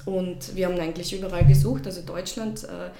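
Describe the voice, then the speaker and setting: A woman's voice: fairly low pitch, fairly even intonation, quick pace, mellow. Reflective woman from Russia, echoey room. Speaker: podcast guest, shop founder. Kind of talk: personal storytelling in an interview. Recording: roomy echo, faint background noise.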